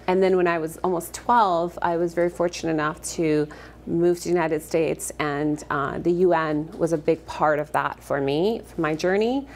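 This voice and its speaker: A woman in her thirties from Afghanistan, with a notably low voice, speaks earnestly and fluently with soft ums. She is a guest on a TV studio set, talking into a lapel mic. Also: fairly even intonation, moderate pace, a little hiss or hum. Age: 30s. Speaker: actress guest. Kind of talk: morning TV interview.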